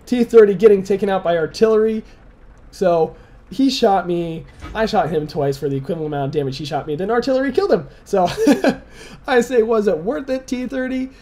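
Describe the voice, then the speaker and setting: Young male gamer commentator, slightly hoarse, high, mellow voice, relaxed and chuckling. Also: brisk. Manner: relaxed, chuckling, analytical play-by-play. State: slightly hoarse, dry throat. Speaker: gameplay commentator. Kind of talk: casual replay commentary.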